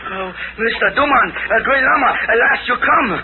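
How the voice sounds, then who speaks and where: An actor playing an eager, obsequious Chinese sidekick with a stage Chinese accent and halting broken English in an old radio drama. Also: male voice, extremely high pitch. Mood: agitated. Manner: halting, obsequious, dropped words. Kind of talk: 1940s radio acting. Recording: tinny, hissy old radio broadcast.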